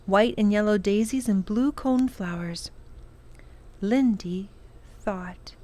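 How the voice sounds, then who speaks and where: A woman reading a children's novel aloud in an unhurried, expressive storytelling manner. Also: medium pitch. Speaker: children's storytime reader, librarian.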